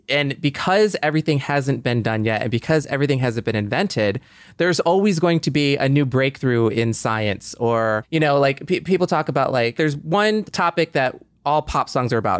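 A man's voice: medium pitch, dry recording. A man on a podcast panel, talking casually and thoughtfully, explaining his points through strings of examples.

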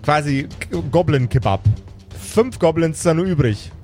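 Male game master, fairly high voice, dramatic storyteller with casual asides, music underneath. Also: expressive, measured pace, a little mellow. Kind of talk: live improvised tabletop game narration. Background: background music.

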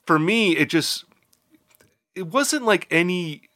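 Congested guy hosting a podcast, rambly, kinda bright sharp voice, dead dry room. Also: high-pitched, expressive, takes it slowly, no room sound. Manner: rambling, self-deprecating. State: congested, getting over an illness. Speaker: podcast host. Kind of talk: casual chat.

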